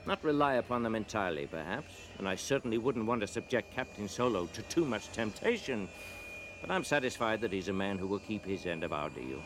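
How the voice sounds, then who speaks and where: An older man playing a wise, watchful mentor in a radio drama, speaking formally and with measured calm. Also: fairly low pitch, noisy background, close to an everyday voice. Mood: musing. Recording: radio drama with music behind.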